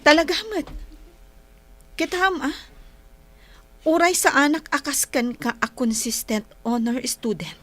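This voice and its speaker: High-voiced, plaintive schoolgirl. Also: animated, a little slow, long pauses, fairly bright tone, no room sound. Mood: earnest, hurt. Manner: plaintive, earnest. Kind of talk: radio drama acting.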